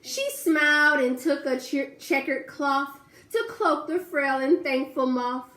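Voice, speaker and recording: A woman teacher reading a rhyming storybook aloud to young children, pausing to ask the class questions. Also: high-pitched, expressive, fairly bright tone, reverberant.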